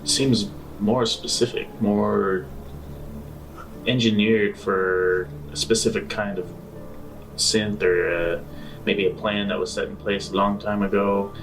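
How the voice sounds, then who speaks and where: A man playing a sheepish space adventurer in improvised tabletop roleplay, speaking haltingly and repeating himself. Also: on the low side, level tone, fairly bright tone, recorded from a distance, soft background music, rough recording. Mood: explaining. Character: sheepish male space adventurer reporting back.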